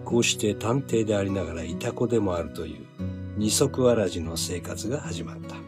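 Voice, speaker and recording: A weary private eye played by a male actor talks in gruff, casual lines with a wry resignation. It is hardboiled-style radio drama, with music clearly playing behind the voice. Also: on the low side, a little fast, close to an everyday voice. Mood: wry, reflective. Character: weary male detective who doubles as a spirit medium.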